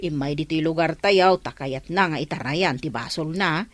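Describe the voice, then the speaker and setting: An actress with an Ilocano accent playing an earnest village councillor reporting news in a radio drama. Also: female voice, deep voice, normal speed, no room sound, lightly acted. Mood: explaining.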